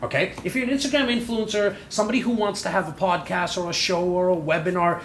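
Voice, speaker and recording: A man's voice: fairly even intonation, fast talker, a bit bright. A man in his forties from Canada, co-hosting a casual chat show and talking bluntly and emphatically, swearing freely. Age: 40s. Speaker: podcast co-host. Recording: echoey room with faint rumble.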